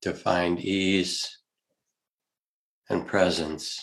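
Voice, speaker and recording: Deep gravelly guy talking you through a meditation, super slow and soft. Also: flat delivery. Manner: slow, soft and gentle, with long pauses. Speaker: meditation teacher. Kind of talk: guided meditation.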